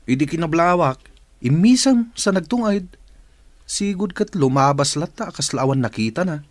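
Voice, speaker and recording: A man's voice: on the high side, animated, moderate pace, no room sound, lightly acted. A man playing a courteous, considerate company boss in an audio drama. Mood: casual. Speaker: voice actor. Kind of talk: radio-style drama acting.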